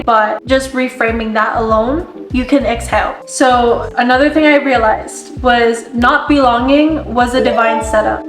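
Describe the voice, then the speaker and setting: Young woman, higher voice, earnest motivational vlog talk, slightly sniffly, echoey room, faint music behind. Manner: earnest, frequent "like" fillers, direct address. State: losing her voice, sniffly. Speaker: self-help content creator. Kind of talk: casual motivational talk to camera.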